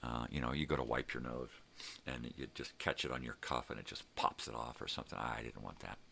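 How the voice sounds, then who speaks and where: An older man with a very deep, gravelly voice chats casually in a rambling, drawn-out way, as a vlog host. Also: fairly even intonation, pushed and bright, a little hiss or hum. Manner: rambling, drawn-out, mild swearing.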